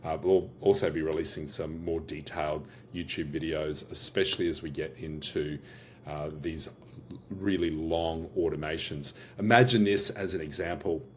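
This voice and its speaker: An Australian man with a very low voice walks through a software demo. He talks casually and often restarts his sentences. He fills the pauses with "uh" and "um". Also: steady tone, slight background noise. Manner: casual, with frequent restarts and filler words. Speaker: host of AI workshops and business owner. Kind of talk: screen-share product demo.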